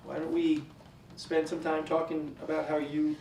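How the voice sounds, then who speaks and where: Male actor as group therapist: placating, over-encouraging, hesitant, highish voice, distant mic, comedic sketch. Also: completely flat delivery, normal speed, a little mellow, natural voice. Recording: echoey room, distant mic, faint noise.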